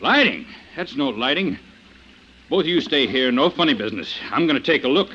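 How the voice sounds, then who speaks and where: An actor playing a dogged, plain-spoken, easily rattled police officer in an old radio drama, blunt and colloquial. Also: male voice, on the high side, very animated, brisk. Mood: rattled, forceful. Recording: muffled, hissy vintage radio recording.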